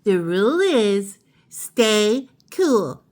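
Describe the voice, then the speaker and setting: A woman voicing a patient, gently firm fish schoolteacher from a storybook, in a measured, mildly scolding way. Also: medium pitch, sing-song.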